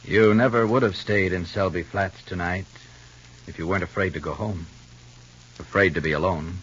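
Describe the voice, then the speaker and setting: Forceful American 1950s radio announcer, man. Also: low voice, fairly even intonation, normal speed, a little hiss or hum. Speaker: announcer and narrator. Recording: dull vintage radio recording.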